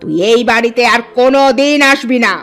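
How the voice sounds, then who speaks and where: A woman's voice: fairly high pitch, steady tone, brisk, faint music behind, lightly acted. A woman voicing a harsh, scheming stepmother in a cartoon, with a scolding manner. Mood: furious. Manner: sharp, scolding. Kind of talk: cartoon dialogue acting.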